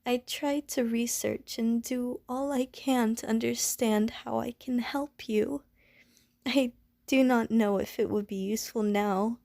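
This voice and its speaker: A gentle, anxious Medusa, acted by a woman in an ASMR roleplay, with a slightly high, mellow voice. She speaks slowly in formal, careful phrases, pleading and apologetic, like a lonely creature wary of humans. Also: steady tone, creaky, dry recording, studio quality. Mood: nervous apology. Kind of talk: ASMR audio roleplay acting.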